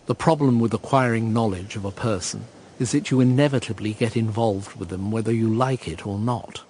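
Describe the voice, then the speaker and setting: A man's voice: fairly low pitch, fairly quick, darkish tone, slight background noise, lightly acted. An actor playing a wry, articulate, embittered man who narrates his own story in a radio drama. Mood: wry narration. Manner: wry, articulate, educated phrasing.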